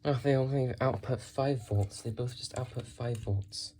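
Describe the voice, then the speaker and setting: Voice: mid-range voice, measured pace, mellow, a little hiss or hum. A person narrating casually while working hands-on, musing and thinking aloud. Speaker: radio collector presenting a thrift find.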